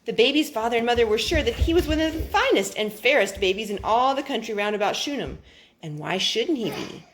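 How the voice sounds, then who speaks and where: Expressive woman reading a children's story. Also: on the high side, fairly quick. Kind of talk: children's Bible story read aloud.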